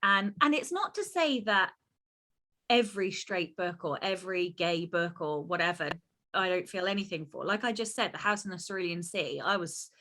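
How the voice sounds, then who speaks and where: A woman co-hosting a podcast in casual chat, animated and laughing often, rambling with fillers, her voice running lower than usual this week. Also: normal speed, a little hiss or hum. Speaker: podcast co-host.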